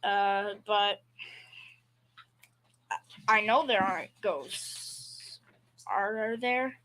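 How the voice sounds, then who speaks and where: A woman plays a good-natured family man in an old-time radio play. The voice is bright and sharp, at a medium pitch for a woman. The delivery is plain-spoken, halting and folksy, with a slightly timid streak. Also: slight background noise. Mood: sheepish, nervous. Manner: folksy, halting, repeats words. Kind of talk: old-time radio comedy-drama acting, amateur school performance.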